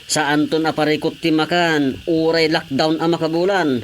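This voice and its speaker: A man's voice: a little high, flat delivery, moderate pace, slight background noise, close to an everyday voice. Guy doing a jolly show-off husband, radio comedy, laughs a lot. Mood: boastful. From Philippines. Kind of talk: radio drama acting.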